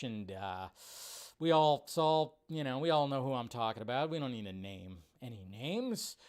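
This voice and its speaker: A man's voice: a little high, clear, resonant tone. A man hosting a live stream with casual, enthusiastic, rambling commentary over a video.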